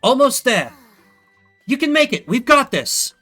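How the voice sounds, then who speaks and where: An earnest, plucky hero from a fantasy war game, acted out by a man. The voice is raised high and pushed forward, bright and emphatic, with music faintly behind. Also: sing-song, a little slow, heavily acted. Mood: urgent, encouraging. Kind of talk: live voicing of video game dialogue.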